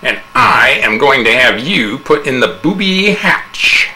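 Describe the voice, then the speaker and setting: A man voicing a cold, scornful, unfriendly wife in a slow, withering, drawn-out delivery. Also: medium pitch, big pitch swings, bright, forceful tone, pitched above the performer's own voice, full character voice, low-quality recording. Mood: icy contempt.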